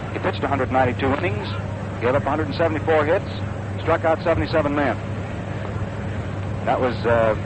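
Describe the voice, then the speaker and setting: An American man calling baseball as a 1940s radio play-by-play announcer, in measured, deliberate phrases. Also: a little high. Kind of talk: 1940s radio sports commentary. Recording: noisy vintage 1940s radio broadcast. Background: loud steady background noise.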